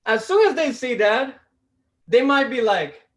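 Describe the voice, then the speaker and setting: Slow Costa Rican man doing stand-up. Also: very high-pitched, animated, a bit bright. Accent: Costa Rican Spanish. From Costa Rica. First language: Spanish. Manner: deliberate, with restarts and self-amused laughs. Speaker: stand-up comedy student. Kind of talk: stand-up comedy set. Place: home, over an online video call. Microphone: video call mic.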